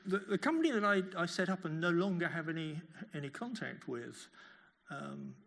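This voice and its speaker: Older male academic, creaky voice, halting delivery, answering questions at a lecture. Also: a little high, expressive, a bit bright. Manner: halting, repeats words while phrasing. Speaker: professor giving a lecture.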